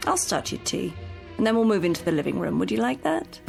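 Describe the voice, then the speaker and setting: An actress playing a patient, practical housekeeper in a radio drama, with a soothing, coaxing manner. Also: female voice, mid-range voice, very animated, fairly quick, vocal fry, soft background music. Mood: attentive. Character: kindly, patient, practical female daily housekeeper.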